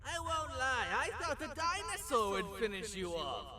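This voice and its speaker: A man playing a theatrical, self-obsessed jester creature in a video game, desperate for laughs and swinging between hushed brooding and shrieking. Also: extremely high pitch, sing-song, moderate pace, crowd noise. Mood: gloating, manic. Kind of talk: video game villain monologue.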